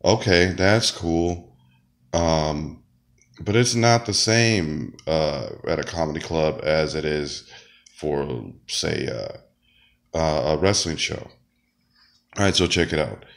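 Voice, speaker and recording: A man talks in a loose, rambling monologue, full of fillers and false starts. His voice sits very low because he is sick. Now and then he coughs between phrases. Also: animated, slow pace, vocal fry. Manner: rambling, many fillers and restarts. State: sick, deep voice. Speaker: wrestler and comedian. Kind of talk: casual monologue.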